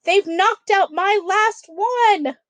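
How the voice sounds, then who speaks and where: A woman voicing a dismayed, excitable old man from a picture book in a theatrical, exclaiming way. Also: extremely high pitch, slow pace, pitched above the performer's own voice. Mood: shocked.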